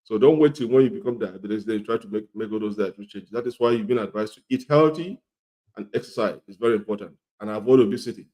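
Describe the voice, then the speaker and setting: Man, middle-aged, slightly hoarse from a cold, lowish pitch, mellow tone, informal health lesson, repetitive. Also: fast talker. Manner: repetitive, often checks in with "okay?". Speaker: health educator.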